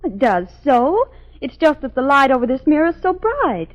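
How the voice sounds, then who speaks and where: A woman's voice: high-pitched, very animated, normal speed. A woman playing a pert, defensive, girlish young lady in a 1940s radio commercial skit. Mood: defensive, pouty. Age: young adult. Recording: dull vintage 1940s radio sound.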